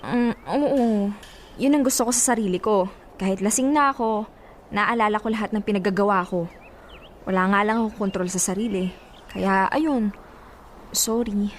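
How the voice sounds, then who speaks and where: A woman's voice: mid-range voice, moderate pace, long pauses, a little hiss or hum, close to an everyday voice. An actress playing a friendly, insistent, playful young woman in a radio drama, breezy and casual, with a Filipino accent. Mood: playful, sheepish.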